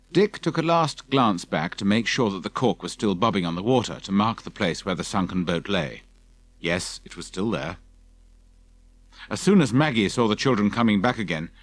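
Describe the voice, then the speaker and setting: Male audiobook narrator for children's adventure, low voice, very expressive intonation, dry studio sound. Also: no room sound. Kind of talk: scripted children's story narration.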